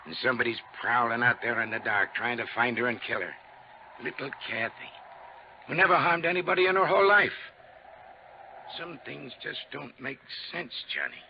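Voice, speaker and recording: A man's voice: mid-range voice, normal speed, close to an everyday voice. A man playing a plain-spoken older country father with a rural American accent, folksy and ruminative, in a 1950s radio drama. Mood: worried. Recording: muffled, noisy 1950s radio recording.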